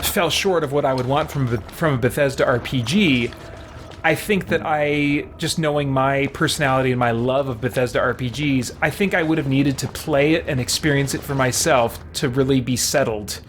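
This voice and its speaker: A man's voice: mid-range voice, moderate pace, a little hiss or hum. A man chatting casually on a game livestream, thinking aloud as he plays. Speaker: game streamer, host. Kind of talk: casual livestream chat while playing a video game. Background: video game sounds and character voices.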